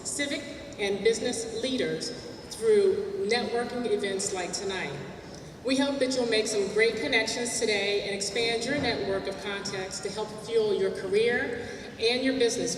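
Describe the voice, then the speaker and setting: A woman's voice: mid-range voice, noisy background. A woman emceeing a business networking event in a hotel hall, with a big projecting voice and some filler words. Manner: loud projecting voice. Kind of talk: welcome speech at a business event. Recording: echoey hall, mic from far off.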